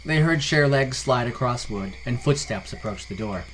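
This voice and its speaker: A man reads scripted fantasy narration. He is the storyteller of a podcast. A clear layer of noise runs behind his voice. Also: medium pitch, expressive, moderate pace, fairly bright tone, low-quality recording.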